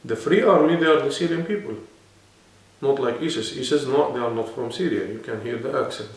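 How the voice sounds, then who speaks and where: A man's voice: medium pitch, brisk. A man with an Arabic accent, speaking non-native English and explaining earnestly as a guest in a serious interview. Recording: echoey room, mic at a distance.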